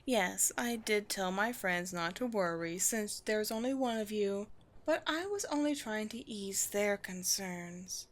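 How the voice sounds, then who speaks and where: A woman voicing a proud, wry vampire queen with a formal, measured, teasing manner in a scripted audio roleplay. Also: medium pitch, animated, close to an everyday voice. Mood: wry, commanding. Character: poised vampire queen, proud, wry, secretly gentle colony leader.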